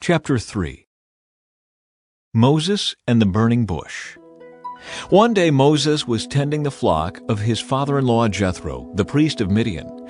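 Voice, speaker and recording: A man giving a measured, solemn, scripted dramatic narration as an audio Bible reader. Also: medium pitch. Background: faint music.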